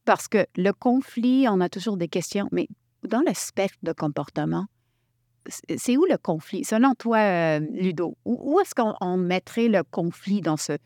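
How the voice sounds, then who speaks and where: A woman from Quebec leading a workshop, talking in an animated way and restarting her sentences often. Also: medium pitch, a little slow, no room sound, clean studio sound. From Quebec, Canada. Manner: animated, thinks aloud with many restarts. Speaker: workshop facilitator. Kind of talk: workshop talk.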